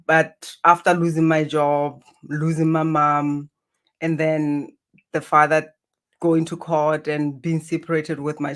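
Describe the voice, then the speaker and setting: A middle-aged woman from Botswana with a Botswana accent, a healer talking earnestly as a guest over a video call, often laughing. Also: low voice. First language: Setswana. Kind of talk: reflective interview talk.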